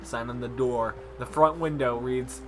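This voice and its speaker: Bright-voiced young man playing games. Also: mid-range voice, fairly even intonation, measured pace, slight background noise. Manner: jokey, breaks off reading to comment. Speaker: gaming channel host. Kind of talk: casual let's play chat and read-aloud of game text.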